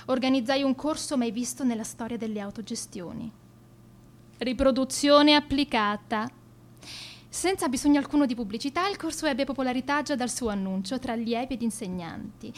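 Radio play: haughty schoolgirl band leader, fairly high voice, florid formal diction, theatrical and self-important. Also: slight background noise. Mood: self-satisfied. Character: arrogant, egotistical teenage schoolgirl, leader of a metal band. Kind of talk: theatrical scripted monologue.

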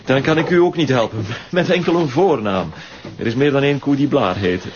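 Actor as a defensive shelter director, dull noisy recording. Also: male voice, mid-range voice, animated, a little fast, close to an everyday voice. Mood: smooth, dismissive. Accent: Flemish Belgian. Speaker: voice actor in a detective serial. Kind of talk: radio crime drama dialogue. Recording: dull radio recording with clear noise.

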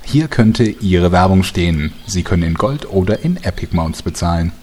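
Casting: male actor for deep, solemn narrator of a comic fantasy audio play, echoing, faintly noisy. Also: lively intonation, normal speed, a little mellow. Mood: announcing. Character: solemn, tongue-in-cheek male storyteller. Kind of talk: scripted narration of parody fantasy audio drama. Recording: long reverb, faint noise.

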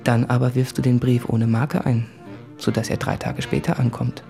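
Man acting tormented fiancé, creaky mid-pitched voice, brooding confessional monologue, radio play, music underneath. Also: level tone, normal speed, warm tone, lightly acted. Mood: reproachful. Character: anguished, self-doubting man writing to his fiancée.

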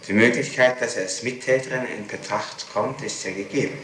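A man's voice: mid-range voice, fairly quick. A man putting formal, insistent questions as a prosecutor in an interrogation, in a matter-of-fact way. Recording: echoey, noisy archival 1960s interrogation tape.

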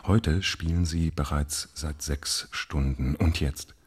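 A man acting as a gripped live commentator in a radio drama, suspenseful and dramatic with deliberate pauses. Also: a bass voice, steady tone, slightly rough, full character voice. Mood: tense, suspenseful. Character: male chess match commentator, gripped by suspense.

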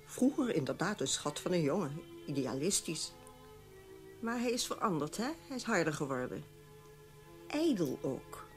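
A frank, shrewd middle-aged female confidante, lowish voice, knowing delivery, radio play with music behind. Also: sing-song, moderate pace. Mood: shrewd, advising. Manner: knowing, advisory. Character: shrewd, frank former newspaper secretary and trusted confidante. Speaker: actor. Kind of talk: radio drama dialogue.

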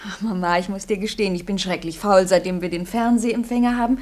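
An actress playing an affectionate young newlywed daughter in a mid-century radio play, soothing yet evasive and brittly cheerful. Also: female voice, mid-range voice, steady tone, fast talker, darkish tone, natural voice. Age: young adult. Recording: noisy vintage radio play recording.

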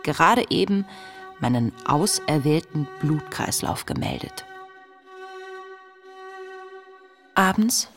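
An actress playing an introspective young woman who reads her diary in a radio play, earnest and confessional, with a breathy voice. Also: sits low, expressive, over music. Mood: sober, confiding. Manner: reflective, measured, earnest, confessional. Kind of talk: literary radio play monologue, intimate diary narration.

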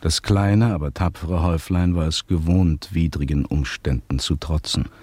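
Sober radio drama narrator, deep breathy husky voice, reporting like a chronicle. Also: male voice, fairly even intonation, moderate pace, dark tone, no room sound. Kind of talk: scripted radio play narration.

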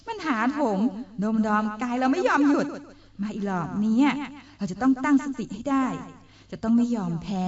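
An actress playing a feisty young village woman who scolds a man with sharp scorn. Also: fairly high pitch. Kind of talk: Thai TV drama acting. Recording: distant, dull lo-fi TV audio.

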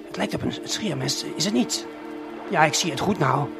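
Man playing a nosy male lookout for an audio play: low-key, confiding, creaky, halting eyewitness commentary. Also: animated, over loud music. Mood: curious, puzzled. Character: excited, conspiratorial male lookout spying through binoculars.

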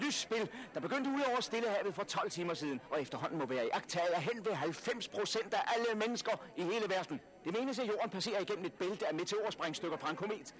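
An actor playing an enthusiastic radio reporter giving declamatory live commentary in a 1960s radio drama. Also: male voice, high voice, fairly bright tone. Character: excited male radio reporter at an outdoor spectacle.

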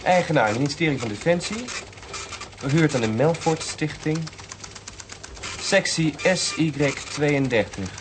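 A man's voice: on the high side, animated, a little slow, pauses often. A man acting in a radio drama as a dutiful, deferential computer expert who speaks formally, in clipped and obliging phrases. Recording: noisy radio play recording.

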